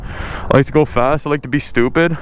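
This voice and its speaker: A man's voice: fairly high pitch, fairly even intonation, fairly quick. A man in his twenties talking casually to his vlog audience outdoors, rambling with lots of restarts and fillers. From born in California, raised in Hawaii, lives in Ontario. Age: 20s. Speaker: motorcycle vlogger. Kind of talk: casual vlog talk to camera. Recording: outdoor traffic and motorcycle noise.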